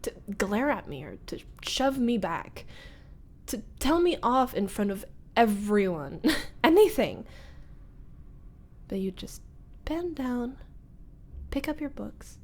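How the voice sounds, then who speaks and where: A woman playing a teasing young former school bully turned nervous roommate, in an intimate ASMR roleplay with halting, breathy delivery that drops into whispers. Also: fairly high pitch, very animated, darkish tone, full character voice. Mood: guilty, confessional.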